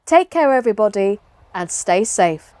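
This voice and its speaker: A woman reading a children's storybook aloud as host, in an expressive, theatrical narrating style. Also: medium pitch, normal speed, no echo.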